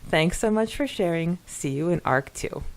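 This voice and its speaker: A woman's voice: on the low side, lively intonation, moderate pace. A woman co-hosting a podcast, giggly, reading out listener comments in a casual chatty style. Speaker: podcast co-host.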